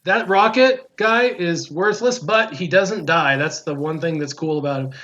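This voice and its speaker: A man's voice: a little high, normal speed, pushed and bright. A man hosting a casual gaming livestream, joking and opinionated, repeating himself as he talks through viewer questions with a guest. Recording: slightly dull, lo-fi stream audio.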